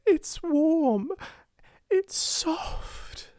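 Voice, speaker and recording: A woman's voice: high-pitched, lively intonation, drawn-out words, dark tone, no room sound, studio quality, pitched above the performer's own voice, exaggerated voice. A woman voicing a young storybook girl, breathless and overjoyed with wonder, in panting, half-whispered exclamations. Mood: tearful joy. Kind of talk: storybook character voice in audiobook reading.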